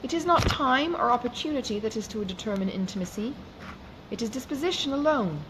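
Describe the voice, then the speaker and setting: A woman's voice: a little high, animated, brisk, voice pushed up, close to an everyday voice. A woman reading an audiobook, voicing an impulsive, romantic young woman in a raised, eager voice. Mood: indignant. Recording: noisy background hiss.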